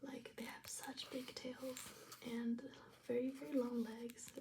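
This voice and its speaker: A young woman from China, whispering softly as she flips through her travel journal, with many ums and restarts. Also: fairly high pitch, flat delivery, unhurried, a little hiss or hum. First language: Chinese. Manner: soft whisper with fillers and restarts.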